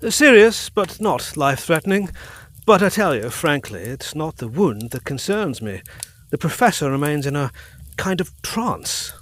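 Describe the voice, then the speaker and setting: Earnest, swooping Edwardian doctor. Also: male voice, on the high side, very animated, a bit bright, exaggerated voice. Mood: grave, assured. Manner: formal, emphatic, deliberate diction. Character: earnest Edwardian physician, frank and authoritative. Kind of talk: gothic audio drama acting.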